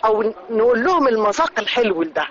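A man's voice: high-pitched, sing-song, fast talker, lo-fi sound. A man with an Egyptian Arabic accent hosting a conversational interview. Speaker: interview host.

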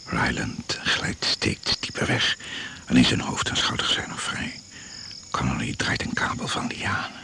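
A man narrating a radio adventure play in solemn, drawn-out, half-whispered phrases, as a grave storyteller. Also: very deep voice, big pitch swings, gravelly, pushed and bright, heavily acted. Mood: solemn, suspenseful. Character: grave, slow storyteller of a jungle expedition adventure. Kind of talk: scripted radio drama narration. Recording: noisy old radio play recording.

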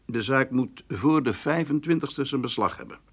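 A man's voice: medium pitch, expressive, moderate pace, breathy edge. An actor playing a cautious, reassuring male negotiator in a radio drama, in an everyday voice.

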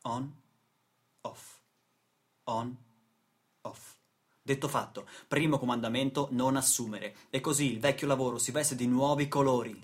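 A man acting as a sardonic, indignant storyteller in a radio theatre monologue, with a husky voice and rhetorical delivery. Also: mid-range voice, fairly even intonation, measured pace, long pauses, lightly acted. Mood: dry irony. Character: sardonic, indignant male storyteller attacking exploitation. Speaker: stage and radio actor.

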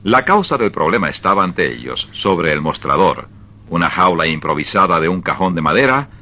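Male storyteller for radio theatre, very low voice with lively, expressive intonation. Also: moderate pace. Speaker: story narrator. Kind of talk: dramatic scripted radio-play narration.

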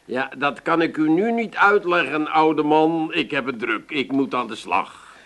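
Pompous amateur jingle writer, swooping delivery. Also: male voice, fairly high pitch, animated, a little slow, a bit bright. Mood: brusque, self-important. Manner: theatrical declaiming broken by muttered self-corrections. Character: busy amateur jingle writer, grandly declaiming slogans, brusque. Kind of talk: 1980s comic radio drama acting.

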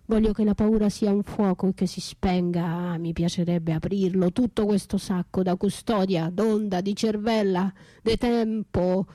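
An actress playing a battered wife left for dead, anguished yet defiant, in a theatrical, poetic radio monologue with drawn-out, breathy delivery. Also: female voice, mid-range voice, fairly even intonation, dark tone, no room sound, natural voice. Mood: urgent, self-coaxing. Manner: theatrical, drawn-out, breathy, shifting from whispers to cries. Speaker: stage actress and writer performing a solo radio play.

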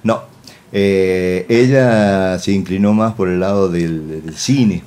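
Mellow-voiced Argentine radio host. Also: male voice, sits low, takes it slowly. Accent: Argentine Rioplatense. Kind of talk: casual interview.

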